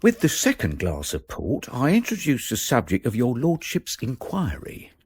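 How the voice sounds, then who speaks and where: An actor playing a deferential, shrewd gentleman's manservant in a radio drama, formal and discreetly sly. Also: medium pitch, huge pitch swings, moderate pace, slightly rough. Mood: smooth, coaxing.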